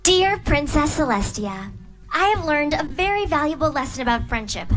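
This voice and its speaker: A woman's voice: sits high, sing-song, normal speed, fairly bright tone, faint music behind, poor audio. A woman voicing a studious, earnest young female unicorn reading out a heartfelt letter at a live script reading. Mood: sincere.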